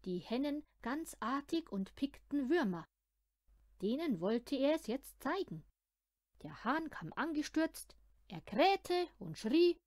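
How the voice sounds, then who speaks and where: Woman, high animated voice, expressive children's audiobook narration, unhurried. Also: no echo, studio quality. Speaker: audiobook reader of children's stories.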